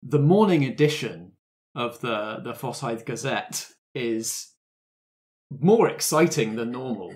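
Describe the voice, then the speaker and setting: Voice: medium pitch, animated, slow, a little mellow. Game master narrating a tabletop roleplay. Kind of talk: tabletop game narration and casual rules talk.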